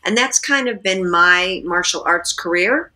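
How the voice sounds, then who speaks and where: Middle-aged female guest, bright and clear-toned, thoughtful and explanatory, video call audio. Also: on the low side, measured pace. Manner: thoughtful, explanatory, with filler words. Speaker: guest, actress and martial artist. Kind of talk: interview answers. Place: indoors, plain room.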